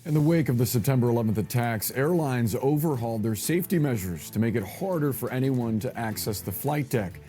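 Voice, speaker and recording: A man's voice: medium pitch, expressive, brisk, few pauses, warm tone, faint music behind. A man with an American accent presenting live television news as an anchor.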